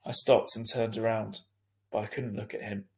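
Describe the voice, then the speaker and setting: A man narrating a children's book as a teacher reading aloud, deliberate and expressive. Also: lowish voice, vocal fry.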